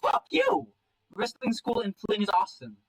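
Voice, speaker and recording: A defiant young animal son from a story, acted out by a man. The voice is pushed high and loud, strained with hurt and anger. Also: huge pitch swings, moderate pace, creaky, heavily acted, low-quality recording. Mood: defiant fury. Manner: shouting, strained, emotional.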